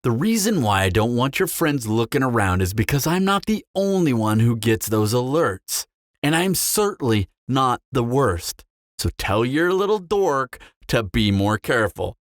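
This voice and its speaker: A man's voice: mid-range voice, very animated, dry recording, clean studio sound. Man acting a smug, swooping flirtatious vampire. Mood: serious, warning. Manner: teasing, smug drawl with dramatic pauses. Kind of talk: scripted audio roleplay, intimate character acting.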